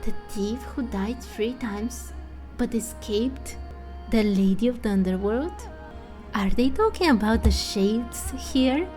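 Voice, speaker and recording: A woman's voice: on the high side, lively intonation, slow pace, darkish tone, faint music behind. A woman giving casual let's play commentary, thinking aloud and speculating as she goes.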